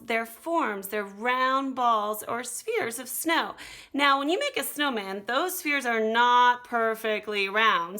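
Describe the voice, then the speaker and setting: A woman's voice: on the high side, sharp tone. A woman teaching an art activity to children step by step, speaking directly to her young viewers in an encouraging, instructional way. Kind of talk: step-by-step drawing lesson for children.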